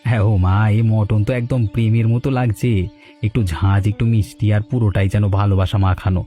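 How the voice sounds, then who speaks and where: A man's voice: on the low side, little pitch movement, quick pace, warm tone, soft background music, close to an everyday voice. A man playing a friendly, humble butcher in a cartoon story, amiable and effusive with his praise. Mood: delighted.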